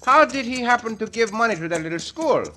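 A man's voice: very high voice, lively intonation, fast talker, bright, forceful tone, soft background music, heavily acted. A man playing a gallant, cheerful Mexican cowboy hero with a Mexican accent in an old radio western. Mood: genial. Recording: noisy vintage radio recording with clicks.

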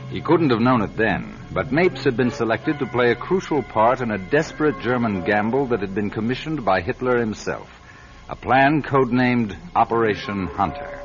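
An actor playing a driven male network journalist who narrates his story in an earnest, urgent way, in a radio drama. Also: lowish voice. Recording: muffled old radio broadcast with music.

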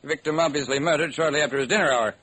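An actor playing a matter-of-fact morgue examiner, reporting in clipped clinical phrases in an old-time radio drama. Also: male voice, fairly high pitch, very fast, a bit bright, slightly rough. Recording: noisy old radio recording.

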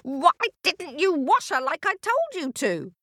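A woman's voice: medium pitch, very animated, unhurried, a bit bright, no echo, studio quality. A woman voicing a wicked old witch in a storybook, greedy and menacing, wheedling one moment and growling the next. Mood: furious.

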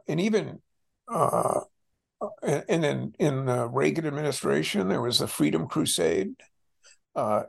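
An older American man, a scholar being interviewed, speaks reflectively and haltingly, often circling back over his phrases. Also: mid-range voice, creaky. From United States. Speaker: interviewed author, scholar and former policy official.

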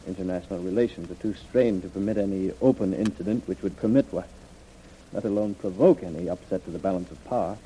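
An actor playing a grave, anxious government official with a formal, deliberate delivery in a 1930s radio drama. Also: male voice, low voice, dark tone, slight background noise, lightly acted. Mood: worried, insistent. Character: solemn, anxious government official planning dangerous secret mission. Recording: muffled, dull old radio recording.

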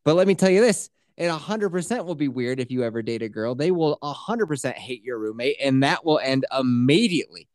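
A man's voice: mid-range voice, fairly quick, hardly any pauses, no echo, studio quality. A man hosting an advice podcast, giving blunt, crude opinions in casual chat. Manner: blunt, crude, animated storytelling. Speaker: podcast host.

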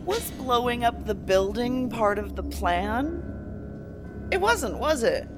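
An actress playing a cool, defiant captive federal agent in a sci-fi audio drama, needling with measured questions. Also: female voice, fairly high pitch. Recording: music under the voice.

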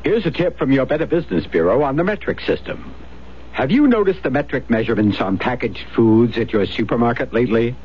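American male announcer, medium pitch, expressive, instructive scripted read, dull vintage radio sound. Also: a little fast. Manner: clear, instructive. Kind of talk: scripted public service announcement. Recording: dull, hissy 1970s radio recording.

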